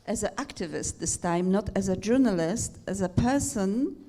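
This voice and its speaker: A woman with a Polish accent moderating a conference panel, asking questions haltingly as she searches for words. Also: medium pitch, lively intonation, mellow.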